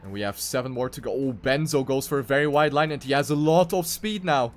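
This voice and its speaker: A man's voice: mid-range voice, fairly quick, soft background music. A man commentating live on a racing game, calling the action play by play and repeating phrases for emphasis. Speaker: esports race commentator.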